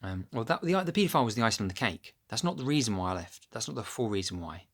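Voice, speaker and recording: Low-voiced man rambling to camera. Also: animated, racing. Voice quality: low, deep voice. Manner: rambling, loses his thread with many ums. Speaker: vlogger. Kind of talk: casual chat to camera. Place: at home, upstairs room. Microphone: phone camera held close.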